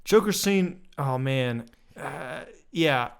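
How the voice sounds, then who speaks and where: Smooth-voiced man chatting loosely. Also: medium pitch, animated. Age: adult past his twenties. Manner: loose, halting, swears casually. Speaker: podcast co-host. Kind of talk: casual chat.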